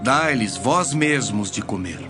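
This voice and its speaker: A calm, gently commanding holy teacher acted by a man in a Bible audio drama. He speaks very slowly with expressive rises and falls. Music is clearly heard behind him. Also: medium pitch.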